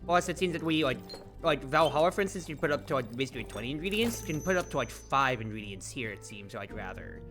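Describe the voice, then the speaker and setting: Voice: medium pitch, animated, quick pace, fairly bright tone, faint music behind. A streamer giving casual, rambling commentary on a game. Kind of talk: casual gameplay commentary with character read-alouds.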